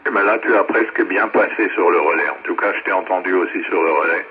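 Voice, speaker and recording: A man's voice: deep voice, fast talker. A man chatting casually as an amateur radio operator over a two-way radio. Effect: two-way radio transmission. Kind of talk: casual ham radio chat. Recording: narrowband radio relay, faint static.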